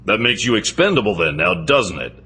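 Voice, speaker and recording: An actor playing a cold, terse, menacing vigilante in an audiobook action drama. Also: male voice, on the low side, very animated, a little fast, pushed and bright, a little hiss or hum. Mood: cold menace.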